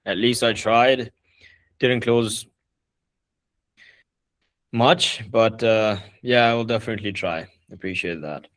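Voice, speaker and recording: A man hosting a casual group video call, relaxed and hesitant, often restarting his sentences. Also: lowish voice, takes it slowly, pauses often, no echo. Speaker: call host answering members' questions.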